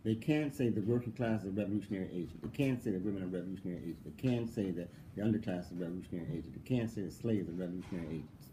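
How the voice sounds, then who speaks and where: A man's voice: low voice, very fast, hardly any pauses, noisy background. A male philosophy professor answering an interviewer in a conversational way. He asks mocking rhetorical questions, laughs often and repeats points for stress.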